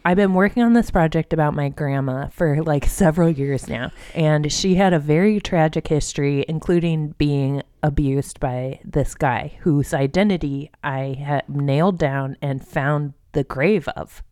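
A woman's voice: deep voice, measured pace, few pauses, dark tone, smooth, dry recording, studio quality. An American woman co-hosting a podcast, chatting and telling stories in an informal, jokey way. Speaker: podcast co-host. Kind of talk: casual chat and storytelling.

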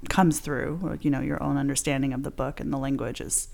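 A woman co-hosting an arts podcast, asking questions with warm curiosity. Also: a bass voice, steady tone, brisk, gravelly. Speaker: podcast co-host. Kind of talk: casual interview chat.

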